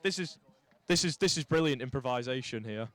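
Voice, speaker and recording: A young man from St Helens, giving lively live rugby commentary with chatty asides. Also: mid-range voice, brisk, dry recording. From St Helens, England. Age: young adult.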